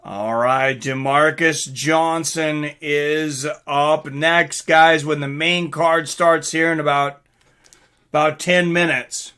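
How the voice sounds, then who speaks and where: A middle-aged man hosts a casual livestream about fights. His voice sits a little high and has a bright, forceful edge. He rambles conversationally, answering viewers' comments as he goes. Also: steady tone, takes it slowly, clear, resonant tone.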